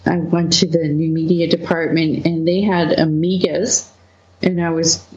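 A woman from Manitoba, Canada, a webcomic co-founder as the guest, talking through her past slowly with frequent laughs and fillers. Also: deep voice, darkish tone, echoey room, muffled recording. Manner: slow, laughing often, many fillers. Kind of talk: relaxed interview conversation.

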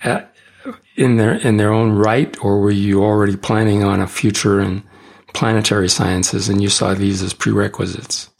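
A man hosting a podcast interview, introducing his guest and asking questions in a measured, formal way. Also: on the low side, level tone, vocal fry. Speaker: podcast host.

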